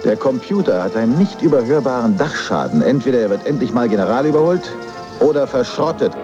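A man's voice: medium pitch, sing-song, fairly quick, lightly acted. An actor playing an exasperated, commanding male starship officer in a TV dub. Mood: urgent, irritated. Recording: dull TV soundtrack, music.

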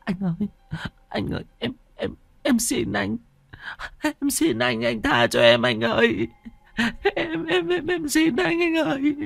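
A man voicing a terrified young wife who stammers high, trembling pleas for mercy. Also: sing-song, takes it slowly, creaky, pauses often, fairly bright tone, dry recording, soft background music, exaggerated voice. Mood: pleading.

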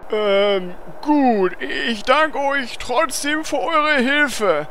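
A woman's voice: high voice, lively intonation, very slow, fairly bright tone, heavily acted. A woman playing a naive, polite young adventurer in a fantasy parody audio drama, with a hesitant manner. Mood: puzzled, uneasy. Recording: background noise.

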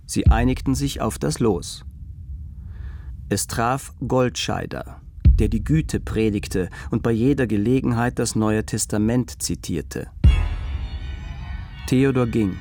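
A man's voice: medium pitch, slow pace, creaky, pauses often, mellow, faint music behind. A man reading scripted literary narration as the narrator of a radio play.

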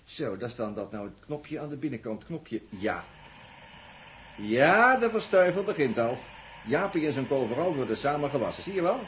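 An actor playing a chatty, jokey, excitable astronaut in halting, informal 1960s radio drama style. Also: male voice, mid-range voice. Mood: breezy, instructive. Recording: noisy vintage 1960s radio recording.

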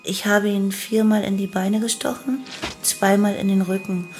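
An actress playing a young cult killer who confesses in a detached, matter-of-fact way in a radio play. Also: female voice, mid-range voice, fairly even intonation, normal speed, darkish tone, soft background music, lightly acted. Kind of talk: radio play monologue in testimony form.

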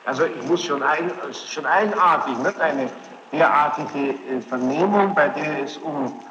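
A man questioning a witness formally and insistently, in long, halting sentences. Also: a little high, highs cut off, a loud crowd. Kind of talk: formal courtroom-style questioning. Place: large reverberant room. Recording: noisy echoing archival tape of hearing.

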